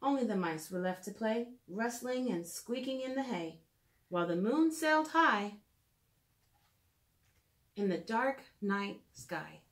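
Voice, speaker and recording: A woman in her thirties reading aloud and leading a drawing lesson for preschoolers in a clear, simple storytelling manner, recorded in a room at home. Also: medium pitch, animated, a little slow, pauses often. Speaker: children's educator reading and drawing. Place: small room at home, indoors.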